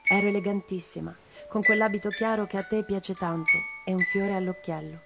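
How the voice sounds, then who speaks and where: An actress playing a composed, introspective woman revolutionary reciting her letters in a radio play, measured and intimate. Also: medium pitch, fairly even intonation, vocal fry, natural voice. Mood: fond, wry. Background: mallet music.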